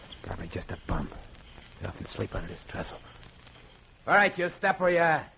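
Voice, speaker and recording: An actor playing a cold, impatient American robbery ringleader with a curt, tough manner, in 1940s radio style. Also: male voice, lowish voice, sing-song, brisk, creaky, sharp tone, full character voice. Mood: wary. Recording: noisy vintage radio recording.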